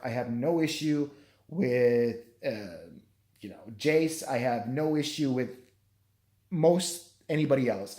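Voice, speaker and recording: Worn out guy with a shot hoarse voice, rambling, cussing, beating himself up. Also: mid-range voice, darkish tone. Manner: rambling, swears a lot, self-deprecating. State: hoarse, voice nearly gone from yelling; tired. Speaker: vlogger. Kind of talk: late-night rambling apology vlog.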